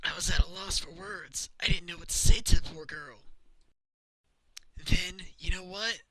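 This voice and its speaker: High-voiced, earnest, gloomy astronaut acted by a man. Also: fairly even intonation, normal speed, pushed and bright, low-quality recording. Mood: anguished, pleading. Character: earnest, gloomy, self-deprecating male astronaut. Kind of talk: amateur video game fandub acting.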